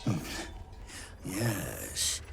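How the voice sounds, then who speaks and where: A deranged, fawning cultist from a fantasy game, speaks in a low and very slow voice. His halting delivery lurches up and down and drops into servile whispers, with music clearly playing behind. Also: very animated, a bit bright, exaggerated voice. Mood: reverent, fawning. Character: fawning, unhinged male cultist devoted to his unseen queen. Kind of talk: dramatic video game acting.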